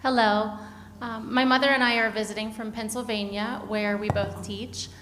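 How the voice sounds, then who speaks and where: A woman's voice: on the high side, little pitch movement, normal speed, a bit bright, slight background noise. A woman teacher in the audience asking a polite question. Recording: echoey hall, distant from the mic.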